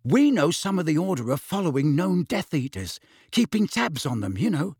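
A man's voice: on the high side, very animated, moderate pace, darkish tone, husky, dry recording, clean studio sound, pitched above the performer's own voice, exaggerated voice. A man voicing an eager, chatty teenage boy sharing gossip.

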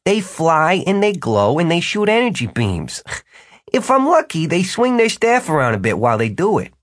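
A man's voice: fairly high pitch, big pitch swings, normal speed, no room sound, voice pushed up. A man voicing a showy, self-assured wizard ruler in an audiobook, with an emphatic, theatrical salesman's delivery. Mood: smug, persuasive. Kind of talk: audiobook character dialogue.

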